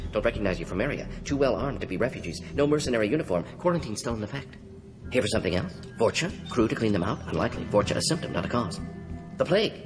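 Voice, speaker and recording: Man voicing a rapid-fire alien scientist, clipped. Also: medium pitch, close to an everyday voice. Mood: analytical, curious. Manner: clipped telegraphic fragments, muttering through deductions. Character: brisk, brainy male alien scientist-doctor, restless and clinical. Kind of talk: video game voice acting. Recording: game audio, reverberant, music behind.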